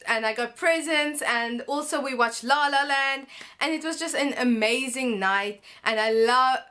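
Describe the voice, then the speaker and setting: A woman's voice: high-pitched, bright, forceful tone. A teenage girl from South Africa, chatting in a bubbly, casual vlog with frequent ums. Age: teens. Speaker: vlogger. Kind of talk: casual monthly favourites vlog.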